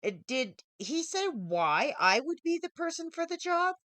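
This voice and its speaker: An audiobook heroine, a polite woman programmer who is easily flustered, acted out by a woman reader. Her speech is halting and eager to please, swinging noticeably in pitch. The recording is dry. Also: sing-song, a bit bright, dry recording, full character voice. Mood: surprised, apologetic. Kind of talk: audiobook character dialogue.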